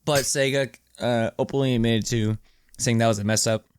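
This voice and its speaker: A man's voice: mid-range voice, steady tone, moderate pace, clean tone, no room sound, clean studio sound. A man co-hosting a podcast, chatting casually about video games. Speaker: podcast co-host.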